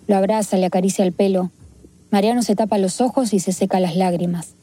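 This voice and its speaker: A woman reporter narrating a documentary story in a measured, descriptive way. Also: mid-range voice, steady tone, warm tone. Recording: clear music bed underneath.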